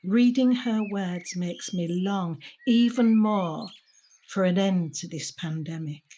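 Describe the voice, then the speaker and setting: An actress playing a lonely, anxious woman in her seventies in an intimate audio drama monologue, husky and confiding, often putting questions to herself. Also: mid-range voice, takes it slowly, warm tone, slight background noise, close to an everyday voice. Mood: wistful. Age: 70s. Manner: reflective, confiding, with rhetorical questions. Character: lonely, anxious elderly mother shielding at home in lockdown.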